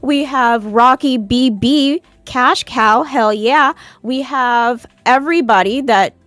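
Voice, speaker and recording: A woman's voice: sits high, takes it slowly, a bit bright, dry recording, faint music behind. A woman co-hosting a casual livestream in an upbeat, teasing way, reading out new members' names. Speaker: co-host.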